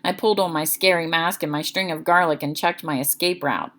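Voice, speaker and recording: A woman's voice: deep voice, fairly even intonation, fairly quick, fairly bright tone. A woman reading a children's chapter book aloud as narrator, expressively.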